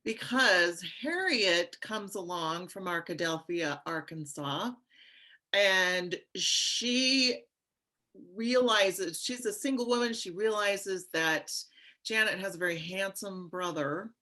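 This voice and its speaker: A woman's voice: medium pitch, slow, sharp tone. A woman, an actress, telling a story casually on a panel.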